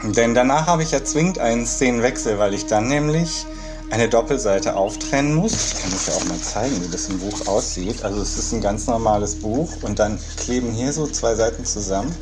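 A man's voice: medium pitch, a little fast, music in the background. A man speaking as an interviewed author, explaining his work in spontaneous talk.

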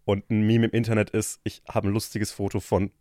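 A man's voice: lowish voice, flat delivery, a little fast, mellow, no echo. A young man from Franconia, a guest on a podcast, chatting casually with dry, self-deprecating humor and many restarts. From Franconia, Germany. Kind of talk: casual chat.

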